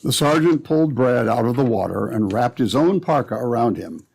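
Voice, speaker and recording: A male narrator of an old-time radio adventure serial. His voice is mid-pitched, creaky and husky, reading scripted scene descriptions. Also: animated, normal speed, a little mellow.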